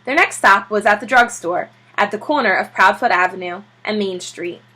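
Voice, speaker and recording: A woman reading a children's story aloud in an expressive, animated teacher's manner. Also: mid-range voice, moderate pace. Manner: expressive, acts out character voices.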